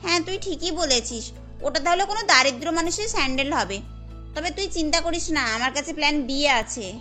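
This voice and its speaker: A woman's voice: sits high, animated, fairly quick, sharp tone, soft background music. A woman voicing a bossy, scheming young woman in a cartoon, chiding and chatty.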